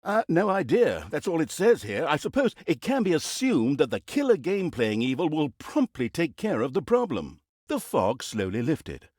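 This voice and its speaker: A man's voice: fairly high pitch, huge pitch swings, moderate pace, hardly any pauses, a little mellow, no room sound, studio quality. A man playing a sardonic, mock-grand storyteller who narrates a comic fantasy game with dramatic, tongue-in-cheek delivery and an easily exasperated temper. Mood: exasperated, sarcastic.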